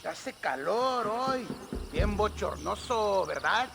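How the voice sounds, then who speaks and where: Game role: friendly Mexican villager with a rebel streak, high-pitched, gravelly, noisy outdoor bed. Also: male voice, animated, slow, full character voice. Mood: feigned friendliness. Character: friendly Mexican male peasant rebel, sly and calm. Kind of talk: video game voice acting.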